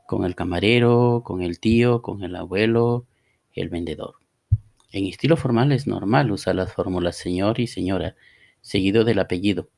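A man teaching a Spanish lesson on a podcast, reading example phrases slowly and deliberately. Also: on the low side, vocal fry, a little mellow. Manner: slow, deliberate, reads model dialogues aloud. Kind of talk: scripted language lesson podcast.

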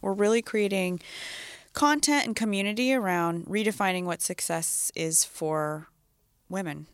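A woman's voice: mid-range voice, measured pace, clear, resonant tone, dry recording, clean studio sound. A woman hosting an interview, speaking in an upbeat, casual way with frequent fillers. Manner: upbeat, casual, uses "like" often. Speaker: interviewer host.

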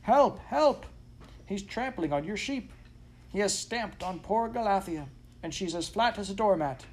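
A man voicing a frantic, cheeky farm dog in a storybook, yammering excitably on a noisy recording. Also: sits high, normal speed, pitched above the performer's own voice. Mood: urgent warning. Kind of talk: storybook character voice.

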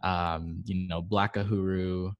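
A man from Barbados, a guest on a casual chat show, telling stories in a relaxed way and laughing often, speaking into his own microphone. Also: sits low, slow, highs cut off.

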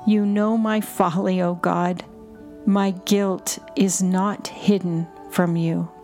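A woman guiding contemplative prayer, reading in short, measured and deliberate phrases. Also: medium pitch, little pitch movement, darkish tone. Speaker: prayer guide and podcast host. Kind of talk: slow, repetitive guided contemplative prayer reading. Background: music under the voice.

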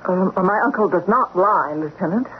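An actress playing an anxious, guarded female doctor in a radio drama, speaking in halting, tense, urgent phrases. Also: on the low side. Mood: guarded, challenging. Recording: muffled lo-fi radio, clear noise.

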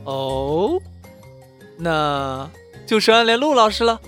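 A woman playing an excitable, nosy young male college roommate in an audio drama, with a blunt manner. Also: lowish voice, takes it slowly, exaggerated voice. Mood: teasing. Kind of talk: Chinese online audio drama acting. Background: faint music.

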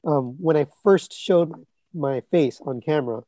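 A man's voice: on the high side, measured pace, warm tone, no room sound. A man in his thirties or forties with a scratchy voice, chatting casually on a podcast in a rambling way full of fillers and restarts. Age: 30s to 40s. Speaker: guest, collectibles reviewer.

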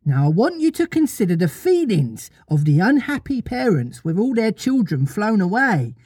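A reader voicing a gentle, motherly young girl who tells a bedtime story patiently, with mild scolding. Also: mid-range voice, sing-song, moderate pace, slightly rough, no room sound, studio quality, pitched above the performer's own voice. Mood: patient, insistent.

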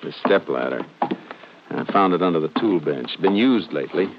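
A low-voiced Texas Ranger in an old radio play, steady and businesslike. He speaks in terse, laconic lines, on a muffled recording under heavy noise. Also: moderate pace. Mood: matter-of-fact. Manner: terse, laconic, matter-of-fact. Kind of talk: 1950s radio drama acting.

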